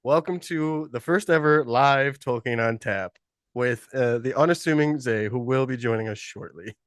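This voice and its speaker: A man's voice: medium pitch, no room sound. Male podcast host with a cold, sniffly, chatty and laughing often, dry close call audio. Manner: loose, laughing, rambling. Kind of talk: casual live podcast chat.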